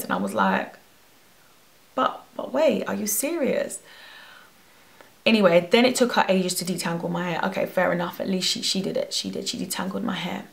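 A young woman tells a lively, expressive story straight into the camera from her bedroom. Her voice carries a gravelly edge. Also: medium pitch. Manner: animated, expressive, gesturing a lot. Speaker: hair vlogger. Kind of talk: storytime vlog. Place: bedroom at home. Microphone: camera at face distance.

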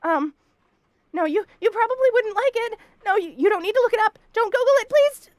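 Shy young bunny girl, extremely high voice, flustered stammer, audio roleplay, dry close recording. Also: animated, brisk, no room sound. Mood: panicky, babbling. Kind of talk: romantic comedy audio roleplay, spoken to the listener.

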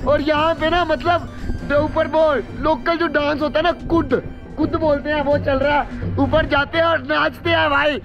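A man's voice: very high voice, creaky, dull audio, music in the background. A young man vlogging casually to an action camera held at arm's length outdoors in the hills, joking with viewers. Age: young adult. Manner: excitable, joking, quick asides to viewers. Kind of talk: casual travel vlog to camera. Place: outdoors in hill villages and forest.

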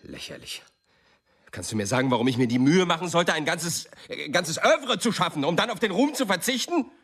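An actor playing a reserved, wry editor in a radio drama, speaking with emphatic delivery. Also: male voice, a little high, big pitch swings, brisk, fairly bright tone, slightly rough, exaggerated voice. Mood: indignant, incredulous. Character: reserved male editor, incredulous when doubted.

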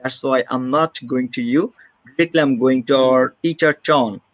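A man's voice: mid-range voice, fairly even intonation, normal speed, dry recording. A young man hosting a live video call for an English school, earnest and repetitive, with nonnative English phrasing. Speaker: host of an online school show.

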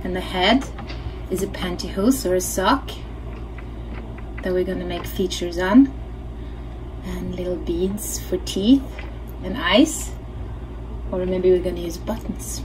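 A woman's voice: on the low side, slow pace, long pauses. A middle-aged woman from Norway with a Norwegian accent, giving a casual craft tutorial and thinking aloud as she demonstrates. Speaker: puppeteer teaching a craft workshop. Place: small home studio, indoors. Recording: clearly noisy home studio recording.

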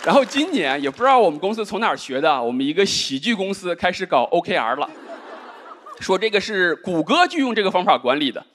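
A man with a strikingly high voice delivers a dry, deadpan stand-up set, his pitch swooping wildly from line to line. He speaks into a handheld mic on a big studio stage, with a live crowd laughing and murmuring behind him and a steady hiss under it all. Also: fast. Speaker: stand-up comedian. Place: large indoor studio stage with live audience. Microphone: handheld microphone.

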